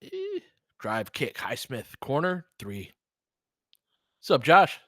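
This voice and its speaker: A man's voice: mid-range voice, huge pitch swings, slow, a bit bright, no echo, studio quality. A man doing casual basketball play-by-play as a stream host, in clipped phrases with chatty asides to listeners.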